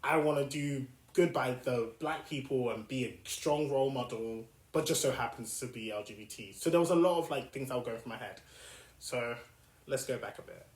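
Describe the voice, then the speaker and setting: A young man with a South London accent hosting a podcast, talking through his past in a thoughtful, reflective solo monologue full of pauses and restarts. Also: mid-range voice. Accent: South London English. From South London, England. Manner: thoughtful, with frequent fillers and restarts. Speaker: podcast host. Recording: echoey room.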